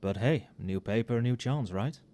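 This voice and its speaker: A man playing a tipsy, friendly professor in a casual ASMR roleplay, speaking softly and muttering as if to a colleague. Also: medium pitch, moderate pace, close to an everyday voice. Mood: relaxed, chatty. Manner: soft-spoken, muttering. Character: tipsy, friendly, conscientious male magic-university professor working late.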